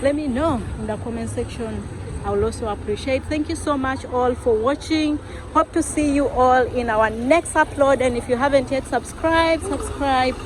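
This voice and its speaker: A young woman talks to her vlog viewers in a high, bright-sounding voice. She is chatty and casual, keeps calling them guys, and laughs easily. Faint street noise sits behind her outdoors. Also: normal speed. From Uganda. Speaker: travel vlogger. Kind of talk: casual vlog chat to camera.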